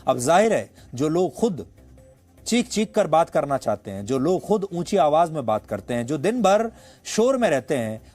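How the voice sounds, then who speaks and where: A man's voice: fairly high pitch, big pitch swings, normal speed, a little mellow. A man presenting scripted news commentary as an anchor. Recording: studio voice over background music. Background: background music.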